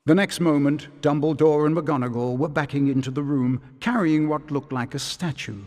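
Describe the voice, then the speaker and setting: A man narrating a storybook-style fantasy tale for a video game in a scripted, measured manner. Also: fairly high pitch, darkish tone, close to an everyday voice. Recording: long hall reverb.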